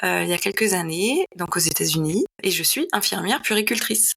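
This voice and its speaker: A woman's voice: lowish voice, animated, brisk, creaky, bright, forceful tone, breathy edge. A woman in her early forties from northern France, telling personal stories in a casual, chatty interview style with the odd English phrase. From Lille, northern France. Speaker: podcast guest, paediatric nurse.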